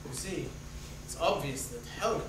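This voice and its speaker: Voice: medium pitch, animated, normal speed, lightly acted. An amateur actor playing a pompous, mystical writer in a stage play, speaking in a grandly philosophical, fatalistic way. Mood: portentous. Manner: pompous, portentous. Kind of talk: amateur dramatic reading of a play. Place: echoey room, far from the mic. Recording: very echoey, distant, noisy room recording.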